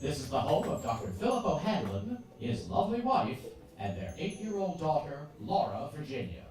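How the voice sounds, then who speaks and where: A woman narrating a stage radio play as a theatrical storyteller, with measured, dramatic phrasing. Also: sits low, sing-song, darkish tone, faint music behind. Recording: echoey theatre, far mic, clear noise.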